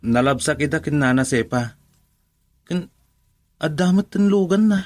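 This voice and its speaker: A man's voice: on the high side, expressive, moderate pace, dry recording, natural voice. A man with an Ilocano accent playing a humble, lovelorn young working man in a radio drama. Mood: apologetic.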